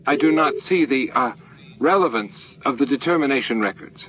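Man as a nervous, hesitant, high-voiced alien underling. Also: lively intonation, a little fast, close to an everyday voice. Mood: nervous, flustered. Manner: stammering, hesitant. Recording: dull lo-fi audio, faint noise.

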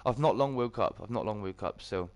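A British man with a slightly croaky, just-woken voice, hosting a casual livestream and greeting viewers chummily. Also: fairly low pitch, brisk, no echo. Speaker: live streamer and host.

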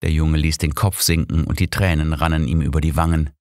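Low-pitched male audiobook narrator. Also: level tone, a little fast, darkish tone, dry recording, studio quality. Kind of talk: scripted narration of a crime story.

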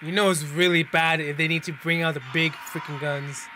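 A man's voice: on the high side, steady tone, moderate pace, noisy background. A man in his twenties giving casual reaction commentary into a close desk mic, reading captions aloud with slangy, exclamatory asides. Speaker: reaction video host. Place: small bedroom, indoors. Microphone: desk microphone up close, headphones on.

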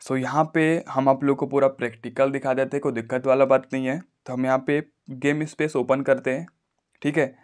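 A man giving a casual, explanatory phone tutorial, often pausing to check that viewers follow. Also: mid-range voice, steady tone, a little mellow. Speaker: tech tutorial YouTuber.

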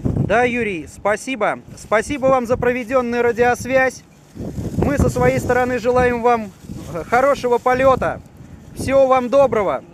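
High-pitched man on a noisy rooftop. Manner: courteous, deliberate radio procedure. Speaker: amateur radio operator. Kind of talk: formal radio exchange, asking questions. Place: outdoors on a city rooftop.

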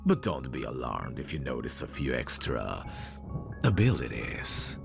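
A man playing a very deep, creepy mad scientist. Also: big pitch swings, full character voice. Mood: admiring, eerie. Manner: slow, intimate, theatrical. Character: possessive, creepy, doting male mad scientist. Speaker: ASMR voice actor. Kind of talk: ASMR roleplay monologue. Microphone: close microphone. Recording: close-miked voice over clear music. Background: music.

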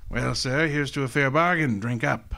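An actor playing a genteel, scheming older crime boss in a radio drama, speaking in a courtly, grandiloquent, relishing way. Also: male voice, mid-range voice, moderate pace, creaky, background noise, close to an everyday voice. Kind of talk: hardboiled detective radio drama acting.

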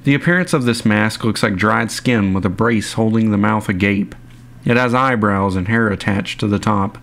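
Young man, low voice, scripted voice-over, plain and informal, reads from notes. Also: normal speed, vocal fry, fairly bright tone. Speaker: YouTube video narrator.

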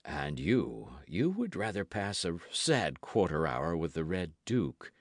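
A man voicing a quiet, patient, sly musketeer with priestly leanings in an audiobook, measured and composed. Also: very deep voice, wildly swooping pitch, creaky, no room sound, clean studio sound, exaggerated voice. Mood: calm, patient.

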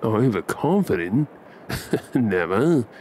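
An Australian man performing an ASMR bedtime roleplay as an affectionate, cheeky young husband and dad, coaxing and hushed. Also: fairly low pitch, sing-song, takes it slowly, slight background noise. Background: ambient sound effects.

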